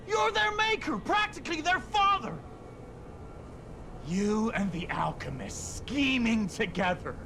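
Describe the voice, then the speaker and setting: A furious shouting hunter. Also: male voice, very high voice, exaggerated voice. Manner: shouting, accusing. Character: furious young male hunter, confrontational.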